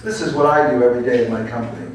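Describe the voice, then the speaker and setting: A man running for office answering questions at a public forum, confident and forceful. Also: medium pitch, fairly even intonation, racing. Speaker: political candidate. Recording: echoey hall from far off.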